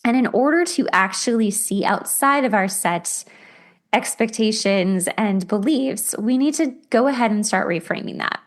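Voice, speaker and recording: Casting: female podcast host, slightly high voice, chatty explainer who talks through relatable examples conversationally. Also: lively intonation, moderate pace. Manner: conversational, acts out imagined dialogue as examples. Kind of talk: casual explanatory self-help talk.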